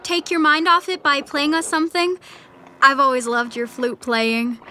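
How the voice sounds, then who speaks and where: A woman's voice: high-pitched, flat delivery, moderate pace, bright, forceful tone. A woman playing an earnest, supportive teenage friend in an audio drama. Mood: awkward, fond.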